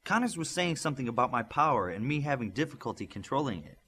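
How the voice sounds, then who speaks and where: A man's voice: medium pitch, very animated, fast, sharp tone, slightly rough, exaggerated voice. A man voicing a curious, hesitant boy with powers in a superhero audiobook. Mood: puzzled. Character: unsure, curious young boy telekinetic superhero.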